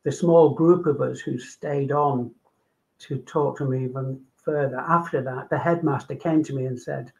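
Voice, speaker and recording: A man's voice: mid-range voice, fairly even intonation, normal speed, vocal fry. An older man from Manchester with a Manchester accent, talking about his work and life on a video call from home, with many ums and repeated words. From England. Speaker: guest doctor. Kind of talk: casual video call interview. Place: home room. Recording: dull lo-fi video call audio.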